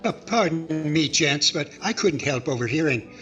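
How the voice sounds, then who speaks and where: Radio-comedy cowboy , man acting: earnest, self-assured, slightly high pitch, musical backing. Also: animated, moderate pace, a bit bright, dull audio, faint music behind. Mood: confident. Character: earnest, confident cowboy family man, map reader.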